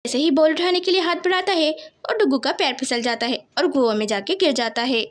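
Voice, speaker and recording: A woman with a high-pitched voice narrates a children's tale. She works as the storyteller, reading from a script. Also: brisk.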